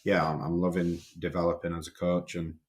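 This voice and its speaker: Young Yorkshire man, podcast guest, very low and level voice, halting delivery that repeats words. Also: flat delivery. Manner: hesitant, repeats and restarts words. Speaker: strength and conditioning coach, podcast guest. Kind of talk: casual podcast interview.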